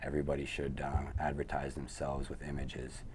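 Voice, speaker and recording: A man's voice: a bass voice, monotone, a little fast, a little mellow. A man speaks in a friendly, chatty way about websites. Faint noise hums behind him. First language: Italian. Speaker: web design company partner. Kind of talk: casual interview talk.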